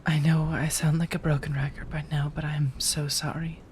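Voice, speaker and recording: A woman playing a remorseful, tender vampire in an ASMR roleplay, speaking softly and haltingly, often slipping into a whisper. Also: low-pitched, level tone, a little hiss or hum, natural voice. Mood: gentle, sorry. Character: remorseful, tender female vampire rescuing her beloved.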